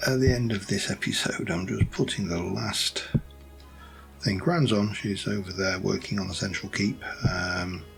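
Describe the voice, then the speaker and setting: Hoarse, lowish male let's-play host; loose, thinking-aloud commentary with a gravelly edge. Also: fairly bright tone, poor audio. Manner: rambling, thinking aloud while building. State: hoarse, voice going croaky. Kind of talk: casual gameplay commentary.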